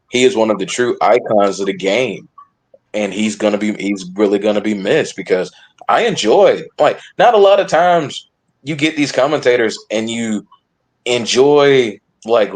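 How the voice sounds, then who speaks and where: A man with a fairly low voice talks sports casually on a podcast panel. He is emphatic, yet moves haltingly, circling back to repeat and rework phrases as he goes. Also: a little mellow, low-quality recording.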